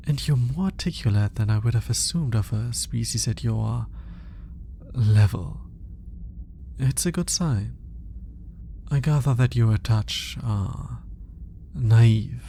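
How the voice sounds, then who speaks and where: An awkward, analytical alien scientist, acted by a man for an intimate ASMR roleplay. He muses slowly in formal, hesitant phrases, shyly smitten with his listener. His voice is dark and mellow with a lot of gravelly fry in it. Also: medium pitch, a little hiss or hum. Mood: gently condescending. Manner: hesitant, formal, articulate musing with sighs and pauses. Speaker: voice actor.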